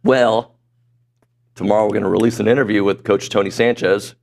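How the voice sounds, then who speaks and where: A middle-aged man hosting a sports talk show in a studio, chatty and self-deprecating, his voice a little hoarse. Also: lowish voice, fairly even intonation, brisk, a little mellow. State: voice going hoarse. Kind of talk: casual sports talk chat. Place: broadcast studio.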